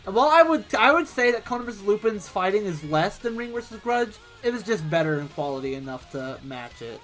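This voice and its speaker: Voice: medium pitch, very animated, a little fast, a bit bright, soft background music, poor audio. A co-host in a casual movie review chat, laughing often and restarting sentences.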